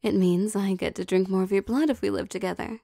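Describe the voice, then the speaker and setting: A woman voicing a possessive, teasing young vampire girlfriend in an intimate, soft ASMR roleplay, coaxing with hesitant pauses. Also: mid-range voice, steady tone, vocal fry, no room sound, clean studio sound. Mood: affectionate, probing.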